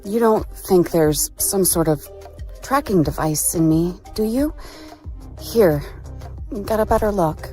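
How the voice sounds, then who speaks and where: Slow, tipsy, vulnerable woman. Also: on the low side, warm tone, soft background music. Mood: vulnerable, flirty.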